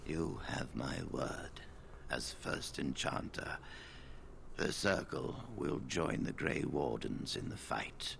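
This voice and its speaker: A gravelly old wizard elder. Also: male voice, extremely low pitch, a bit bright, a little hiss or hum, exaggerated voice. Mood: solemn. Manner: measured, solemn. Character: elderly male wizard leader, grave and weary. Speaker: first enchanter.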